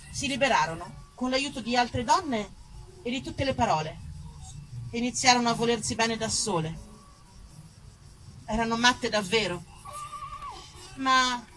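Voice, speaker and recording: Bright, high-voiced woman telling stories theatrically. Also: poor audio. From Tuscany, Italy. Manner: expressive, emphatic, dwelling on single words in lists. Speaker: stage actress, writer and storyteller. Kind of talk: theatrical monologue storytelling.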